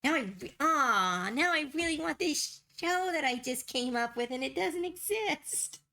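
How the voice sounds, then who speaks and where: A young adult woman streaming as she draws. She rambles and giggles as she thinks out loud, and her voice is going hoarse and tired. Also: high-pitched, animated, a little slow. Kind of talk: casual chat while drawing.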